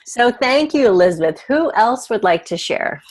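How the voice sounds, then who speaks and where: A woman's voice: a little high, sing-song, normal speed. A woman hosting a women's group video call, explaining habits and the brain in an earnest, encouraging way. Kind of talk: coaching talk and group facilitation.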